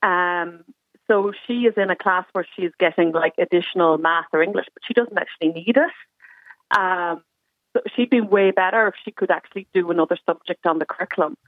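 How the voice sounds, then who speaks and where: A woman's voice: on the low side, moderate pace, no room sound. A woman calling a radio show as a mother, explaining her situation earnestly, with plenty of ums along the way. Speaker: caller, mother. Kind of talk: radio phone-in call. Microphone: telephone. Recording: tinny dry phone line.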